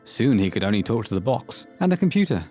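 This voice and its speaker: A man's voice: on the low side, big pitch swings, fast talker, mellow, soft background music. A man reading scripted narration for a horror audio play as its storyteller.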